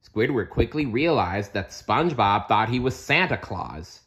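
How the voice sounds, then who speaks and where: Male read-aloud narrator: unhurried, animated pitch, expressive storybook delivery with playful asides. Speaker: storybook reader.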